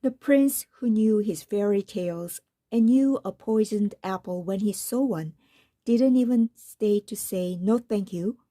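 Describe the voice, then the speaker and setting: A woman's voice: medium pitch, a little slow, dark tone, dry recording. A woman reading a children's picture book aloud as a storyteller.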